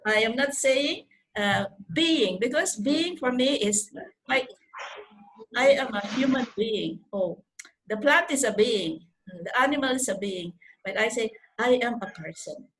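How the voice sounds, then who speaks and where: Higher-voiced older Filipino woman, earnest. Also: lively intonation, a little slow, a bit bright, rough recording. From Philippines. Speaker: life coach and programme mentor. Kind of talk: motivational talk to students on a video call. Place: indoors on a group video call. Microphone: video call microphone.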